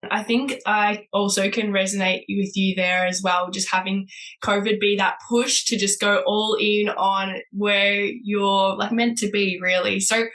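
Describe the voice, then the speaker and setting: A woman hosts a podcast with a bubbly, enthusiastic manner. She fills her speech with "like" and "so" as she gushes about her guest. Her voice sits in an ordinary middle pitch. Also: flat delivery, unhurried, a bit bright. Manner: bubbly, enthusiastic, heavy use of "like" and "so". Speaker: podcast host. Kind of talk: upbeat podcast interviewing.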